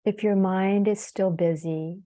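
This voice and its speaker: A woman leading a guided meditation as a yoga teacher, soothing and unhurried, leaving long pauses between phrases. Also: mid-range voice, steady tone, mellow.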